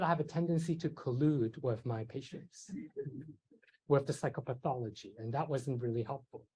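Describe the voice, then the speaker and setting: A man's voice: medium pitch, a little mellow, low-quality recording. A middle-aged man from Hong Kong giving a psychiatry lecture, telling personal stories in a conversational way with many fillers and light self-deprecating laughs. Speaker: psychiatry professor. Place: lecture hall.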